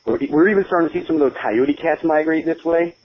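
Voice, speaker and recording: Radio comedy caller, male actor: chatty griper, casual, slightly high voice, lo-fi phone filter. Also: fast talker. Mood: griping, chatty. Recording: muffled lo-fi phone call.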